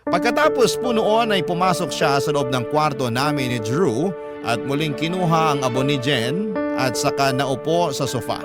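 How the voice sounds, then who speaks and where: A man's voice: on the high side, animated, normal speed, over loud music. A man with a Filipino accent narrating an emotional first-person story in the style of radio drama.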